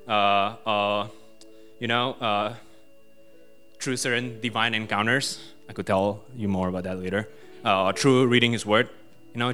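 A young man with a Malaysian accent shares his testimony in a relaxed, chatty way, often laughing at himself between thoughts. His voice is bright and forward. Faint instrumental music plays behind him. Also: lowish voice. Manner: casual and self-deprecating, laughs often, many fillers. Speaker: guest speaker. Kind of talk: personal testimony.

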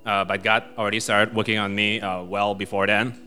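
A young man with a Malaysian accent giving a casual personal testimony at a church retreat, self-deprecating and quick to laugh, with plenty of fillers. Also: lowish voice, level tone, pushed and bright, smooth, faint music behind. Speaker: guest speaker.

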